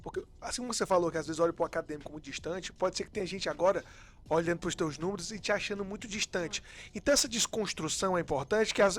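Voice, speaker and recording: A man with a Brazilian accent hosting a podcast, explaining his point in casual, emphatic speech and often rephrasing himself. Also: high-pitched. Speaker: podcast host.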